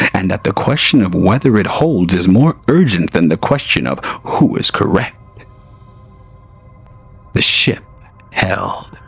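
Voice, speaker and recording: A man narrating a scripted audio drama in a slow, solemn, deliberate way. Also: low voice, vocal fry, breathy edge. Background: faint music.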